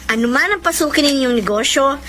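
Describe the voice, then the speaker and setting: A woman's voice: high voice, expressive, brisk, noisy background. A Filipino actress playing a devoted, forgiving and earnest young mother in a radio drama. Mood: happy, excited. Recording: music bed behind the voice.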